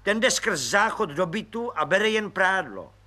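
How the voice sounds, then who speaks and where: A man's voice: high-pitched, very animated, bright, forceful tone, pitched above the performer's own voice, heavily acted. A man voicing an eager, overfamiliar little red-haired police agent who talks in a slangy, chatty way. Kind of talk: audiobook character voice.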